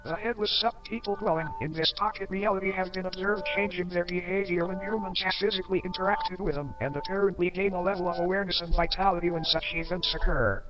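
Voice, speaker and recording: A man reading scripted horror narration like a documentary, in measured, formal wording with wry asides. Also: sits high, fairly even intonation. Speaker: video narrator. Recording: dull lo-fi audio under loud music. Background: music.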